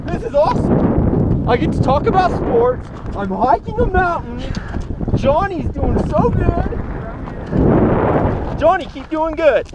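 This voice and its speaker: Young male hiker, slow and excitable, shouting on a windy summit ridge. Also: very high-pitched, highs cut off. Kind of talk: casual chat on a hike. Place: rocky mountain ridge outdoors. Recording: wind noise on the mic.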